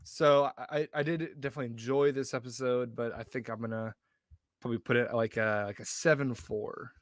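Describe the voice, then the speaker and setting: A man co-hosting a podcast in casual chat, speaking haltingly and correcting himself as he goes. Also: medium pitch, fairly even intonation, dry recording, studio quality. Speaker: podcast co-host.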